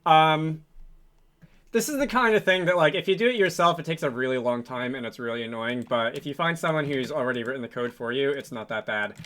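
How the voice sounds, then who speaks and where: A man's voice: medium pitch, brisk, a bit bright. A man giving a casual, unscripted programming tutorial, thinking aloud in a hesitant, rambling, self-correcting way. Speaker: programming video host, game developer. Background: computer keyboard typing and mouse clicks.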